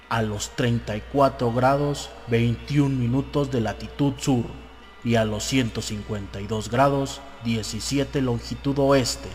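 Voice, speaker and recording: Man reading in long, cavernous reverb. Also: medium pitch. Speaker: audiobook narrator. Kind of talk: dramatized reading of a newspaper report. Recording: long hall reverb, music behind. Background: music.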